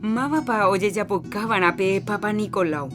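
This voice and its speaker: A woman with a Paraguayan accent reading a scripted public health announcement in a measured, informative way. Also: mid-range voice. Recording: music bed under voice.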